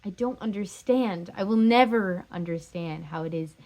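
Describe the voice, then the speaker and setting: A woman's voice: lowish voice, moderate pace, darkish tone, lightly acted. A woman performing a stage drama role as a heartbroken, insistent jilted lover, pleading emphatically and repeating herself. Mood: anguished, accusing. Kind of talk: stage drama scene and monologue.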